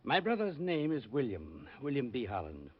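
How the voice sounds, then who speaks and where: A dull-sounding old radio drama recording of a wealthy, worried client. His voice is mid-pitched. He speaks in a measured, formal and earnest way. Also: wildly swooping pitch, breathy edge. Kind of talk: 1950s radio drama acting.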